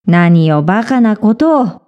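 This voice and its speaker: Woman playing a stern, slow old temple priest. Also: mid-range voice, big pitch swings, muffled recording. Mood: angry. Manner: scolding, pompous, old-fashioned speech.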